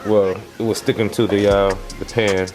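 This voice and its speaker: A man's voice: on the low side, flat delivery, normal speed, darkish tone. A man casually talking viewers through his home cooking. He rambles a little, repeats himself, and keeps asking the audience questions. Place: home kitchen. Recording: music playing behind voice.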